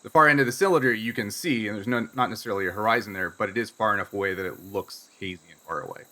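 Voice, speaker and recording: A man's voice: on the low side, racing, pushed and bright. A man improvising narration as game master of a tabletop game in a conversational, explanatory way.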